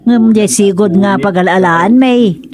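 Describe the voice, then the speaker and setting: A woman's voice: mid-range voice, a little slow, crowd noise, close to an everyday voice. An actress with an Ilocano accent playing a practical, chatty village businesswoman in a radio comedy-drama. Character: practical village woman running a funeral parlour.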